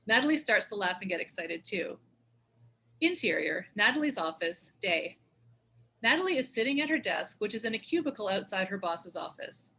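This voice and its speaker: A woman narrates a script's stage directions. Her voice is medium in pitch and bright, giving scene headings and actions as scripted narration. There is faint noise in the recording.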